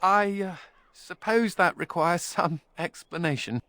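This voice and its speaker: An actor playing a wry, flippant yet guarded fugitive mage in a video game, speaking conversationally. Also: male voice, high-pitched, measured pace, bright, forceful tone, no room sound. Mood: wry, uneasy.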